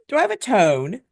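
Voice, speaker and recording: A woman co-hosting a casual livestream, playful and teasing, chiming in with quick replies. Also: mid-range voice, vocal fry, dry recording, clean studio sound. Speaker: co-host of a relationship coaching livestream.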